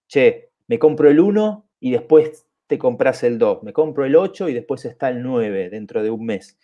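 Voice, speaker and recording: A man's voice: medium pitch, mellow. A man, chatting casually on a podcast in a rambling way, with lots of restarts and repeats. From southern Chile. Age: 40s. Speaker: podcast co-host.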